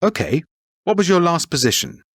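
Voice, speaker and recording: A brisk, businesslike interviewer. His pitch moves a lot as he asks each question. The sound is dry and close. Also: lively intonation, a bit bright, slightly rough, no echo. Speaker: interviewer filling in a form. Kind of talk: scripted textbook listening dialogue.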